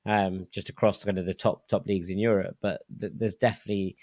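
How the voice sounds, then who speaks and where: Male host, lowish voice, dry close sound, keen football chat. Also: steady tone, quick pace, darkish tone, no echo. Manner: enthusiastic, many likes and repeated words. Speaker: podcast host.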